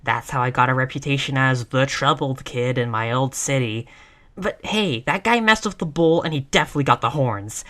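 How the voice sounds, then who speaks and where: Woman acting as tough cocky girlfriend, bright forceful voice, sweary and casual but sweet on her partner, roleplay audio. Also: rumbling low, normal speed. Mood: cocky, defiant. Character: tough, reckless, scrappy girlfriend, brash but affectionate.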